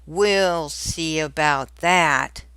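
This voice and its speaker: A woman's voice: low-pitched, extremely slow, a bit bright, smooth, dry recording, exaggerated voice. A woman voicing a grumpy, proud male dwarf from a storybook, blustering and boastful. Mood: grumbling, defiant.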